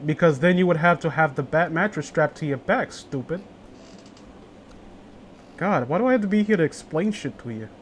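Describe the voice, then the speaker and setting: A man's voice: fairly high pitch, animated, moderate pace, long pauses, a little hiss or hum. A man hosting a casual read-along with friends, joking in a crude, teasing way. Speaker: host reading horror stories aloud with friends.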